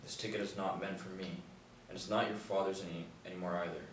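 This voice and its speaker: A man playing a grave old grandfather in a screenplay table read, speaking tersely and solemnly with quiet command. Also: low voice, flat delivery, quick pace, natural voice. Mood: solemn, firm. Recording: roomy, distant, noisy room recording.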